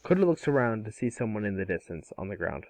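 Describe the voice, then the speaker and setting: A man narrating a script aloud in an amateur table read, with a halting, stumbling delivery. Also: fairly low pitch, sing-song, darkish tone, dry recording, low-quality recording.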